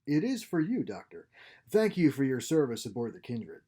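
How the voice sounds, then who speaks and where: A man's voice: medium pitch, huge pitch swings, normal speed, mellow, slightly rough. Man voicing a stern, menacing starship captain. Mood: stern command. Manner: clipped, commanding, menacing politeness. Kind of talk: short story read aloud.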